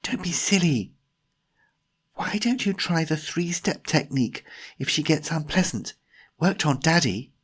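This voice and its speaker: A man voicing an excitable, bossy young woman in an audiobook story, breathy and urgent. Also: on the high side, measured pace, voice pushed up. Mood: exasperated, bossy.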